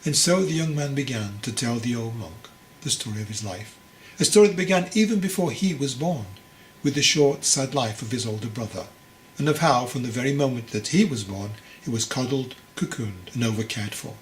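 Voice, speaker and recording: A man reading his own book as an audiobook, in a deliberate, phrase-by-phrase narration. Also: medium pitch, animated, echoey room. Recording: clearly noisy recording.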